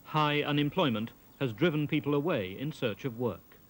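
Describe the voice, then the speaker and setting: A man narrating a documentary from a script, in a measured, matter-of-fact way. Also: mid-range voice.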